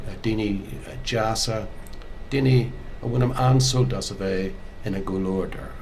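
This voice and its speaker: An older man with a Belfast accent tells his own story slowly, giving each phrase in Irish and then in English, with some hesitations. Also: on the low side, darkish tone, a little hiss or hum. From Northern Ireland. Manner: measured, unhurried, with hesitations. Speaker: museum storyteller.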